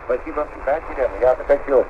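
A man's voice: mid-range voice, steady tone, fairly quick, phone-quality sound, noisy background. A man at a polar station answering interview questions over a long-distance radio link.

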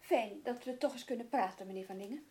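Een actrice die in een hoorspel een beleefde maar volhardende maatschappelijk werkster speelt. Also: female voice, on the high side, fast, darkish tone, breathy edge, soft background music. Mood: insistent. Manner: courteous, insistent. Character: polite, persistent female social worker. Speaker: radio drama actor. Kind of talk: Dutch radio play dialogue.

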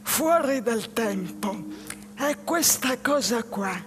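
An actress playing a lonely, dreamy, jobless man in a halting theatrical monologue. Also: on the high side, expressive. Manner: slow, halting phrases with pauses. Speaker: stage actress. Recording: music behind the voice.

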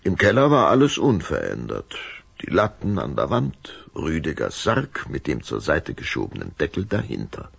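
A man with an extremely deep, gravelly voice tells a scripted children's story. His delivery is expressive, with wide swings in pitch, and the sound is close and dry. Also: normal speed, no echo. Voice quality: gravelly, husky. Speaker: narrator.

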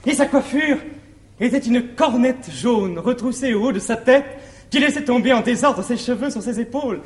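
A man playing a lovestruck, anxious young gentleman in a classic French farce, declaiming effusively and theatrically in a roomy old stage recording. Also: extremely high pitch, normal speed. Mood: rapturous, effusive. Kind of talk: classical stage comedy acting.

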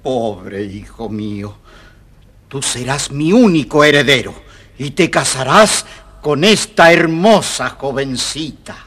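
A man's voice: medium pitch, animated, takes it slowly, a bit bright. An actor playing a fussy, imperious old king in a children's audio storybook, fretful and theatrical. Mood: tender.

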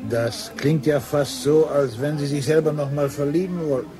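An actor playing a needling, pompous colleague with an ironic, wordy manner in a German radio play. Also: male voice, fairly high pitch, steady tone, moderate pace, crowd noise, close to an everyday voice. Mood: mocking. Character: sardonic, needling male colleague, pompous wit.

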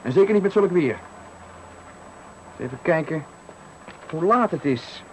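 Menacing stranger in a noisy radio thriller. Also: male voice, fairly high pitch, animated, a little slow. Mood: cold, threatening. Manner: taunting, commanding, coolly polite. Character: menacing male stranger, sadistic trickster at a bus stop. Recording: noisy 1980 radio play recording.

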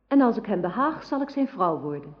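An actress playing an innocent, obedient young princess in a radio play, demure and naive in manner. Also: female voice, medium pitch, sing-song, brisk, close to an everyday voice. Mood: shy, obedient. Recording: dull old radio drama recording.

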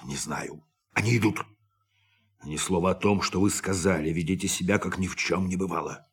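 An actor playing a composed, courteous spaceship captain in a radio play, speaking in a measured, authoritative way. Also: male voice, deep voice, husky. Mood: grave, guarded. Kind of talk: Russian radio play acting.

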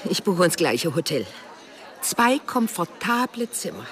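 A woman's voice: fairly low pitch, big pitch swings, measured pace, some chatter behind. An actress playing a harried, evasive female boss in a radio drama, formally polite, breaking off her sentences. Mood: flustered, apologetic. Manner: flustered, evasive, broken-off sentences. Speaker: supporting character.

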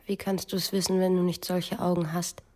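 An actress in a literary German radio drama playing an anguished young woman, wary and desperate, with a breathy voice. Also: on the low side, flat delivery, normal speed, a little mellow, lightly acted. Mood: anxious, resolute.

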